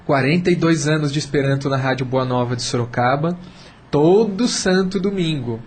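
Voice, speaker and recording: A man's voice: fairly high pitch, moderate pace. A man with a Brazilian accent speaking as a radio panel guest, explaining and urging emphatically, repeating phrases to build his point. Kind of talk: persuasive, explanatory discussion. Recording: clear background noise.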